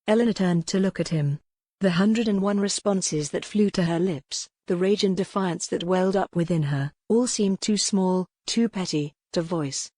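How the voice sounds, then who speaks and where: A woman's voice: lowish voice, normal speed, warm tone, dry recording. A woman narrating a scripted historical romance audiobook.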